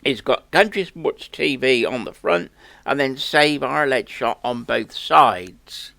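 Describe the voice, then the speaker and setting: A man's voice: medium pitch, sing-song, takes it slowly, creaky, bright, forceful tone. A man hosting a country sports channel, making an earnest, plain-spoken campaign appeal to viewers. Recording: echoey room.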